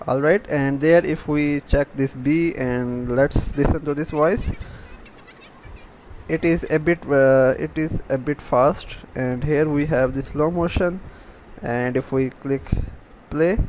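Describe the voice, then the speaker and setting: A man with a slightly high voice narrates a software tutorial off the cuff. He explains step by step in a halting way, repeating himself. There is faint noise behind his voice. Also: fairly even intonation. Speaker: programming tutorial host.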